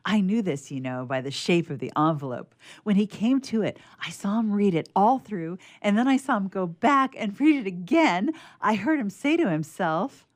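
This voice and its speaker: A woman playing an eager, chatty, excitable schoolgirl in a radio theatre piece. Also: mid-range voice, moderate pace, few pauses, natural voice. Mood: eager, confiding. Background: harp underscoring.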